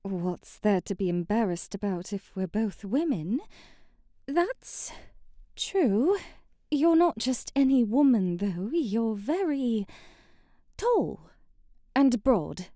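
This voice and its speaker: Sheltered young princess, played by a woman, bookish, flustered and haughty; soft mellow tone, unhurried, for intimate ASMR roleplay. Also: a little high, expressive, dry recording, studio quality. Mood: flustered, shy. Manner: prim, old-fashioned diction, coy hesitant stammering.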